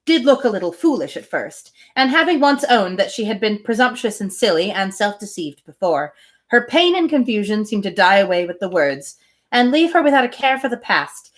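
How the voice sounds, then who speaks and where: Female reader, mid-range voice, very animated delivery, expressive storytelling with informal asides. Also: medium pitch. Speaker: reader hosting a read-aloud series. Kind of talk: reading a novel aloud with casual asides.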